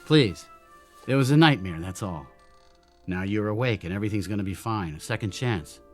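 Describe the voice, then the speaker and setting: An actor playing a troubled man in a radio drama, swinging between frantic and gentle. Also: lowish voice, lively intonation, moderate pace, soft background music, natural voice. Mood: soft, reassuring.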